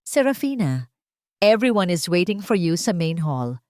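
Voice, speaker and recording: A woman with a Filipino accent voicing a gentle, affectionate man in a love story audiobook, with a tender manner. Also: lowish voice, sing-song, normal speed, no room sound, clean studio sound. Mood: tender, warm. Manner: tender, mixing English and Tagalog. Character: Raul, gentle, affectionate adult man, steadfast partner.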